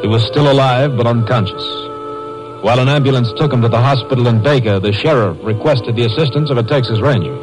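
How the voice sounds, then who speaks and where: An actor playing a sober narrator, delivering scripted narration for a 1950s radio crime drama. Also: male voice, mid-range voice, quick pace, natural voice, rough recording. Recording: old-time radio recording with music.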